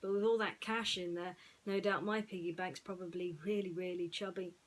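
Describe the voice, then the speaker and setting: A woman storyteller talking in a musing, unhurried way, with pauses, as in bedtime reading. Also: fairly low pitch, fairly even intonation.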